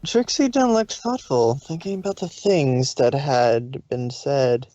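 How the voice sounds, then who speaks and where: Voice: medium pitch, slow pace, dark tone, no echo, highs cut off. A narrator reading scripted fan fiction in short descriptive passages.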